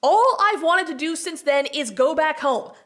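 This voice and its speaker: A woman's voice: sits high, lively intonation, normal speed, fairly bright tone. An actress playing a feisty, exasperated young farm girl in an audio drama, blunt, emphatic and sarcastic. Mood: exasperated, protesting.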